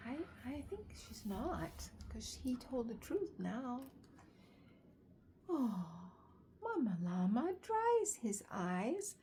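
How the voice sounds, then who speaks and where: Woman, bedtime story reader, soft breathy near-whisper, highly varied pitch, unhurried, some background noise. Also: big pitch swings. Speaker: children's story reader, host. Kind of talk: bedtime story read-aloud with asides to young listeners.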